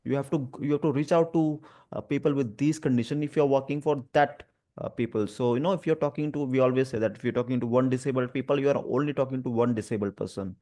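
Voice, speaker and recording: A man with an Indian accent and a stammer, speaking haltingly and earnestly as an advocate sharing personal experience. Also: mid-range voice, mellow. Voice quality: stammer, with repeated sounds and blocks. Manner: hesitant, halting, earnest phrasing. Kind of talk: personal talk about lived experience, conversational.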